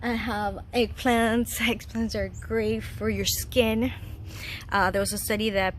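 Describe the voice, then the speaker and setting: A woman from Chile in her thirties talks through casual life advice from her home garden. Her voice sits a little high, and she is earnest and chatty, laughing easily. A faint background hiss runs under the recording. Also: level tone, takes it slowly. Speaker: vlogger.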